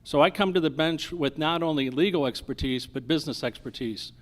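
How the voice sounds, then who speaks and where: A man's voice: fairly high pitch, fairly quick. A man, a lawyer and judicial candidate, giving a campaign introduction with hesitant stumbles and repeats. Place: live candidate forum.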